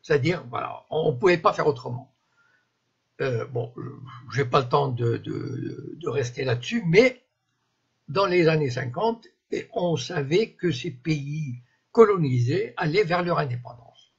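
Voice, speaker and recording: Older man, 70s, from Morocco, native Moroccan Arabic speaker; husky, slightly high voice; unscripted, restarting talk; muffled stream audio. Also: expressive. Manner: halting, searching for words, frequent restarts. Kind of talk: unscripted live-stream monologue. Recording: dull lo-fi live-stream audio.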